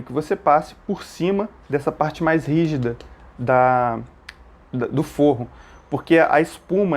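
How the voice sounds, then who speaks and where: Brazilian man giving hands-on instructions. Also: medium pitch. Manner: explanatory, often checking in with short tag questions. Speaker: motorcycle gear tutorial presenter. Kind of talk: informal step-by-step hands-on instruction.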